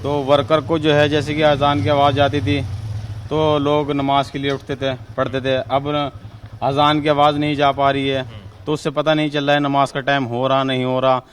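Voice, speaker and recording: A man's voice: mid-range voice, flat delivery, moderate pace, slight background noise. A man with a western Uttar Pradesh accent, a local resident answering informally in a street interview.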